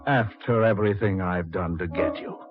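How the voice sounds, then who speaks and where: An actor in a 1940s radio drama playing a vengeful man back from the dead, deliberate and ominous. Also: low-pitched, music in the background, close to an everyday voice. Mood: coldly menacing. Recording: dull 1940s radio recording.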